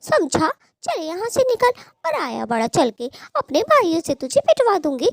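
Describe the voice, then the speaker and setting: A spiteful cartoon bird wife played by a woman with a very high, swooping voice. She is quick-tempered and scheming, scolding with dramatic flair in a close, dry recording. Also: wildly swooping pitch, gravelly, no echo, heavily acted. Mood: angry, threatening. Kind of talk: Hindi cartoon story dialogue.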